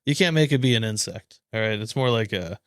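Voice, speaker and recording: A man's voice: fairly low pitch, animated, brisk, no echo. Slangy American man, close and dry. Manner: informal, slangy. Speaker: podcast co-host. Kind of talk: casual chat and informal sponsor plugs.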